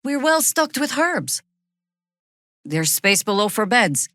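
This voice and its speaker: A woman voicing a weary, sardonic veteran druid warrior in a fantasy video game, speaking in a dry, measured way. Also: on the high side, sing-song, bright, forceful tone, dry recording, exaggerated voice. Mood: grave, resolute.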